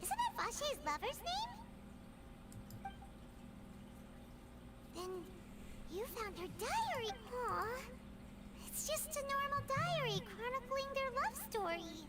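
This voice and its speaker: Casting: tiny chirpy game fairy sidekick, extremely high squeaky child voice, animated delivery, music behind. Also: female voice, slow, long pauses, noisy background, heavily acted. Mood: astonished. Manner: speaks of self in third person. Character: Paimon, small childlike chirpy floating fairy companion. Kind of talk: animated video game voice acting.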